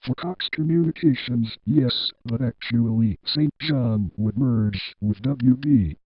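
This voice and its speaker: A man's voice: mid-range voice, slow, a little hiss or hum, natural voice. A man playing a stubborn, contrary contestant in a comedy sketch, giving insistent, clipped answers. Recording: dull lo-fi audio.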